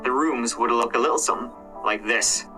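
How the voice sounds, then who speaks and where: A man's voice: medium pitch, a little fast, over music. A man casually retelling a true crime case in a conversational way, with hedges and fillers. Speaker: true crime reaction host.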